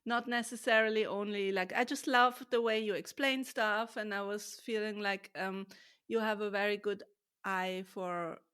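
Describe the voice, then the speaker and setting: Woman, German native speaker, podcast guest, mid pitch, hesitant, lots of ums. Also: steady tone, moderate pace, clean tone. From Switzerland. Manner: hesitant, many ums, frequent soft laughs. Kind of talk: casual interview chat.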